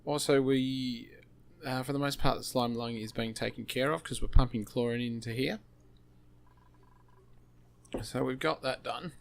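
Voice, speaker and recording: A man talks through a game he is playing, wandering from thought to thought with plenty of fillers. His voice is slightly hoarse and sits at an ordinary middle pitch. A faint noise runs under his desk-mic recording. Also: fairly even intonation, a little slow, pauses often. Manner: rambling, thinking aloud with many fillers. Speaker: gaming channel host. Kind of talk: casual gameplay commentary.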